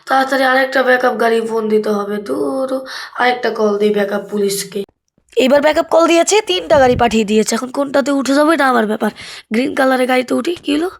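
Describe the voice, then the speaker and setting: High-pitched Bangladeshi woman commentating gameplay. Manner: chatty, thinking aloud, asking questions. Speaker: gaming video host. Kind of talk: casual gameplay commentary with roleplay.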